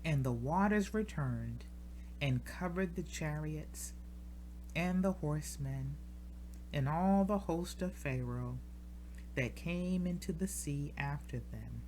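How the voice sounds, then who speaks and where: Woman, scripture reader, slow measured delivery, solemn phrasing, deliberate pauses, faint noise behind. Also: deep voice, lively intonation, a little mellow, poor audio. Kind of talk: solemn scripture reading from the King James Bible.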